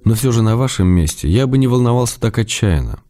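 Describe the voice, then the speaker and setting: A man playing an affectionate, gently ironic writer who reads his letters aloud in a radio drama. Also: medium pitch, expressive, soft background music, natural voice. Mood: consoling. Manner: tender, gently ironic, unhurried. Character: affectionate, teasing male writer writing letters. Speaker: reader of letters in a radio play.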